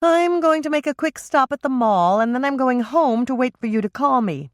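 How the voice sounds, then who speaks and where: A woman's voice: high voice, lively intonation, moderate pace, no echo, studio quality, voice pushed up. A woman doing an emphatic, fretful sister.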